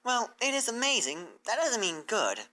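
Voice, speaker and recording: Woman voicing an eager, high-pitched bookish pony scholar. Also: huge pitch swings, moderate pace, bright, forceful tone, full character voice. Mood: eager, earnest. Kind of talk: fan fiction character reading.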